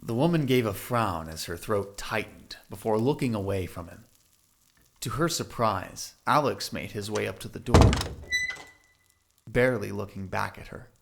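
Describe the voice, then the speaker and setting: Man, narrator, slightly deep voice, scripted audio drama, literary storytelling delivery. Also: animated, moderate pace, gravelly, a little hiss or hum.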